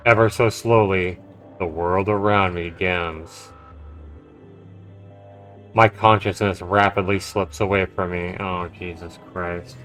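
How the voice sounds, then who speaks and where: Expressive male game streamer, music behind. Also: fairly low pitch. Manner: dramatic reading with expressive, sweary reactions. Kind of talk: livestream let's play, reading game text aloud with commentary.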